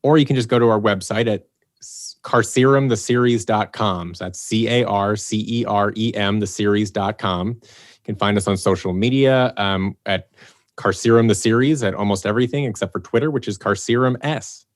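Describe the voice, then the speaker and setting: Low-voiced man in lively podcast chat. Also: moderate pace, dry recording, clean studio sound. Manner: animated storyteller who acts out quoted fan exchanges. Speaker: voice actor and series producer.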